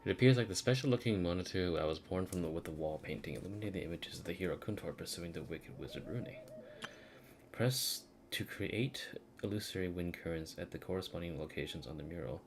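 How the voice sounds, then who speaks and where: A man's voice: low voice, fairly quick, a little hiss or hum. A man giving casual gameplay commentary, muttering to himself in an unguarded, informal way. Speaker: game streamer. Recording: game music behind the voice. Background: video game music.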